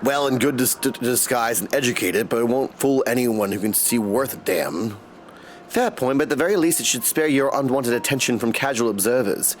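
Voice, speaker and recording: A man acts out a formal male figure from a fantasy video game. The delivery is measured and stately, and music plays faintly behind it. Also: medium pitch, lively intonation, voice pushed down, natural voice. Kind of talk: reading video game dialogue aloud.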